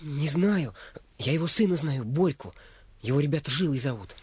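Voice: medium pitch, animated, normal speed, a little mellow, slight background noise, natural voice. A performer in a Russian radio play voicing a curious, respectful young boy with a wondering, naive manner. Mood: surprised, eager. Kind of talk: Russian radio drama acting.

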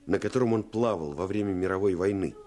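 An actor playing a grown man who recalls his boyhood, narrating a Russian radio play in an expressive, reflective literary style. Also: sits low, quick pace, very noisy, close to an everyday voice. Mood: reminiscing. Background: music.

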